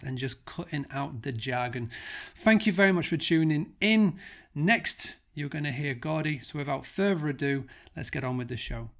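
A man's voice: on the high side, vocal fry. A man hosting a podcast, reading its intro and asking questions in an upbeat way. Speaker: podcast host.

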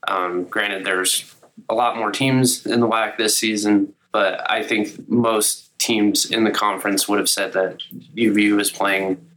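Slightly raspy young man, flat voice. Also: lowish voice, unhurried, poor audio. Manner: conversational, many "uh" fillers. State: slightly raspy after illness. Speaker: co-host of a college sports podcast. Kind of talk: casual sports chat.

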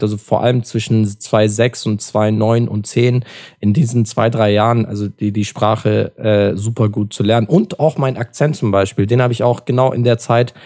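A man's voice: medium pitch, warm tone. A young man in a casual podcast chat, talking in a slangy, informal way.

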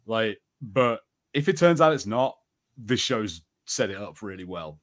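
A man with a fairly low voice talks as a podcast co-host. His delivery is casual and conversational, rambling along with lots of "like" as he gives his opinions. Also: expressive, unhurried. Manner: rambling and conversational, heavy use of "like". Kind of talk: casual chat about TV shows.

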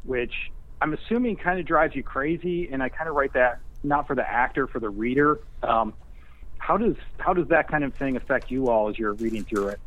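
A man speaking as a guest screenwriter in a casual interview, halting and self-effacing. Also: medium pitch, no echo. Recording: muffled, tinny phone-like line.

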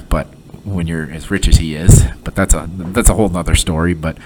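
A man hosting a casual solo podcast, sick with a sinus cold and sounding nasal, talking in a halting way with lots of fillers and restarts. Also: low voice, background noise. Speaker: podcast host; former head coach.

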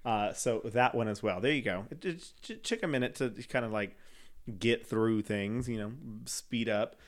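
A man's voice: lowish voice, moderate pace. A man co-hosting a casual podcast, teasing and laughing often between fillers. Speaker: podcast co-host. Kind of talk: casual chat.

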